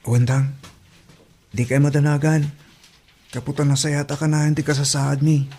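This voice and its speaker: A man's voice: fairly high pitch, little pitch movement, moderate pace, mellow, close to an everyday voice. A man with an Ilocano accent playing a dutiful, worried young son in an audio drama, speaking earnestly and respectfully. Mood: respectful, reassuring.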